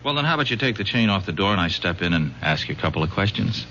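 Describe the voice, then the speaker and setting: An actor playing a shrewd, persistent police detective who probes with halting, folksy questions in a radio drama. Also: male voice, low voice, big pitch swings, pushed and bright, slight background noise, exaggerated voice. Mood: wheedling. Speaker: detective sergeant. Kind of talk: 1970s radio drama acting. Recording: dull lo-fi old radio broadcast.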